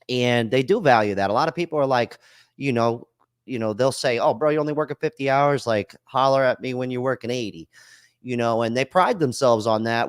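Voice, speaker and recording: A man's voice: mid-range voice, clear, resonant tone, dry recording, clean studio sound. A man hosting a call-in podcast, talking loosely and bluntly in slang, swearing freely. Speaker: podcast host. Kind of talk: casual chat.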